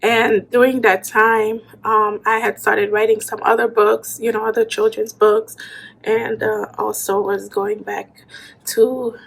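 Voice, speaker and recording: Flat-voiced woman, halting with many ums. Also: fairly high pitch, gravelly, breathy edge, low-quality recording. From Haiti. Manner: hesitant, many ums and you-knows. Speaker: author. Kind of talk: casual talk to camera. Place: indoor room with bookshelves. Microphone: phone camera at arm's length.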